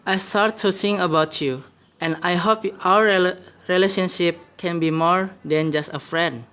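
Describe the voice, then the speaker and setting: A woman's voice: fairly low pitch, steady tone, normal speed, fairly bright tone, clean tone, lightly acted. A woman playing an earnest young man, an older brother in love, in an amateur radio play with careful scripted delivery. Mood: earnest, nervous.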